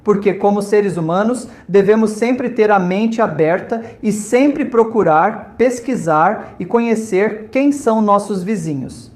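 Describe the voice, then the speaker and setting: A man's voice: high-pitched, a little slow, hardly any pauses, darkish tone. A man from India speaking as a volunteer host, giving an informal, conversational talk to visitors and often checking in with his listeners.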